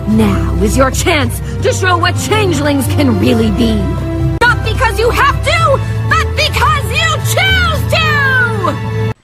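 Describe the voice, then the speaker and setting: A woman voicing an earnest young cartoon heroine in a dramatic animated speech. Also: very high-pitched, full character voice. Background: prominent music.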